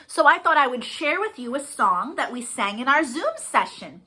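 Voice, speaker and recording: A woman's voice: high-pitched, sing-song, normal speed, sharp tone, husky. A woman leading a children's storytime, speaking expressively and encouragingly in simple, sing-song phrases for young listeners. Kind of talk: animated children's storytime, reading, explaining and singing to young children.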